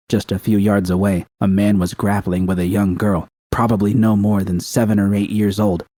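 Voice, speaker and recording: A man's voice: lowish voice, dark tone, dry recording. A man reading scripted fiction as an audiobook narrator.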